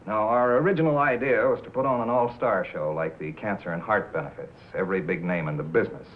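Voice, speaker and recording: A man's voice: on the low side, fast, a little hiss or hum, close to an everyday voice. A man acting as an authoritative, businesslike network executive in a 1950s film drama, matter-of-fact and commanding. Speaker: actor in a feature film. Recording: muffled narrow vintage film soundtrack.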